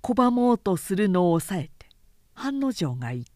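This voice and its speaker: A woman narrating a literary audiobook in a measured, deliberate storytelling manner. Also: medium pitch, very animated, dark tone, no echo, studio quality.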